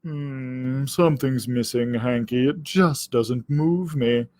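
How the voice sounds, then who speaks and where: A man voicing a sly, smug storybook cat with a drawling, falsely sympathetic, deliberate delivery. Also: mid-range voice, darkish tone, dry recording, close to an everyday voice. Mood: mock regretful. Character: sly, smug, silky male cat scheming against a dog. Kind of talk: children's storybook character voice.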